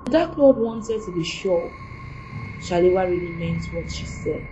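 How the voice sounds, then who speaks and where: A woman narrating an audio drama in a plain, steady storytelling manner. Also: lowish voice, normal speed, lo-fi sound. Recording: echoey room with music.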